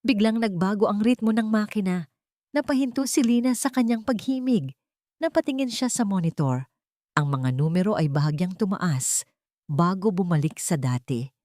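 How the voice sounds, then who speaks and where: A woman's voice: mid-range voice, animated, moderate pace, dark tone, dry recording, clean studio sound. A woman narrating a scripted dramatic story as an audio drama.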